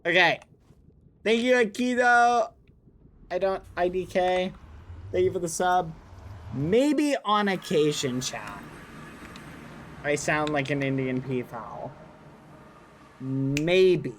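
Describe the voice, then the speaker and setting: Slow-talking, playful male streamer. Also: high-pitched, lively intonation. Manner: rambling, mock-indignant, playful. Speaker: live streamer. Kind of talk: casual chat with stream viewers while gaming.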